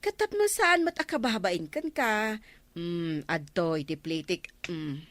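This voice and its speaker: A woman's voice: fairly low pitch, expressive, unhurried, a bit bright, slight background noise. A woman playing a haughty, money-grubbing village councilor in an Ilocano comedy radio drama, bossy and exclamatory. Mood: haggling.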